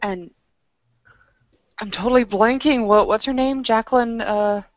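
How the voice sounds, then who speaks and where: A woman's voice: a little high, unhurried, no echo, muffled recording. A woman playing a tabletop roleplaying game, speaking casually both in character and as herself.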